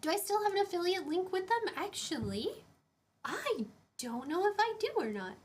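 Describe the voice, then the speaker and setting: A woman with a high, girlish voice chats casually to her stream, rambling and restarting her sentences. She is picked up from a few feet away by a shotgun mic in a room at home. A faint hiss sits under her voice. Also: expressive, normal speed. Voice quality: higher-pitched voice. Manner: chatty, rambling, many fillers and restarts. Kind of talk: casual live-stream chat, reading chat questions. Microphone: shotgun condenser mic about five feet away.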